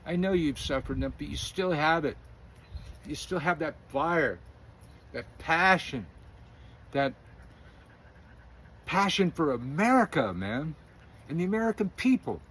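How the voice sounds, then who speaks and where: A man's voice: a little high, animated, unhurried, long pauses, fairly bright tone, slightly rough. A middle-aged man giving rambling, opinionated political commentary, full of fillers and chuckles. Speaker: independent news commentator.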